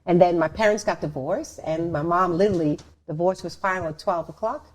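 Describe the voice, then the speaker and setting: Middle-aged woman, halting conversational storyteller. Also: lowish voice. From Suriname and the Netherlands. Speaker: show host and dancer telling their story.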